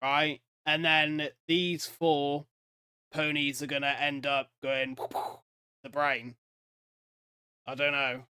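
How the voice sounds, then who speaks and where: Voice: mid-range voice, flat delivery, slow, long pauses, pushed and bright, smooth, no echo, studio quality. A reaction video host giving casual, slangy commentary full of exclamations.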